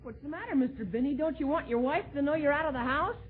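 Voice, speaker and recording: A man's voice: extremely high pitch, lively intonation, fast. A male performer playing a cheeky, grumbling young American son in 1940s radio comedy style. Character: cheeky, grudge-holding young son of the family. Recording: tinny 1940s radio broadcast.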